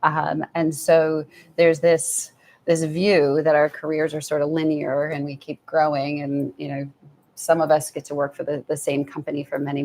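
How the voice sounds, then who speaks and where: A soft-spoken woman speaking as an author guest at a live event, thoughtful and prone to restarts. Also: sits low. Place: event venue with audience.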